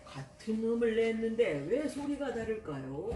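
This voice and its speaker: A woman's voice: fairly high pitch, big pitch swings, moderate pace, mellow, far from the mic, slight background noise. Woman as a patient goat teacher in a roomy space. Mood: patient, instructive. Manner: instructive, addressing children with questions. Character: patient goat schoolteacher explaining to young animals.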